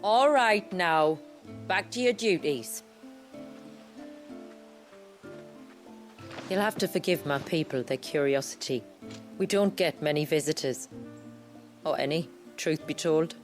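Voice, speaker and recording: A woman's voice: low-pitched, lively intonation, measured pace, faint music behind. A woman playing a proud, assured leader of a hidden fantasy village, composed and formal, in a video game cutscene. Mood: firm, wry.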